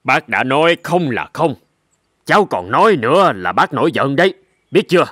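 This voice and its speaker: An actor voicing a stern, weary old sheep elder in an animated fable, firm and condescending toward the young. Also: mid-range voice, normal speed, breathy edge, no echo, studio quality. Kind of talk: Vietnamese animated fable dialogue.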